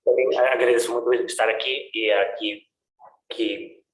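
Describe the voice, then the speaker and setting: Man guest on a video call, mid-pitched, halting, echoey sound. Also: steady tone. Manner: halting, searching for words.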